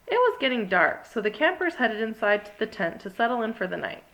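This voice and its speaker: A woman's voice: a little high, big pitch swings, fairly quick, a bit bright, low-quality recording. A woman narrating a scripted children's story as a read-along. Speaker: storybook reader.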